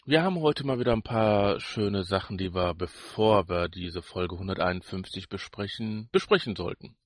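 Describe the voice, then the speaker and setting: A man's voice: deep voice, moderate pace, no echo. A man co-hosting a podcast in casual banter, with a teasing, jokey manner. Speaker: podcast co-host.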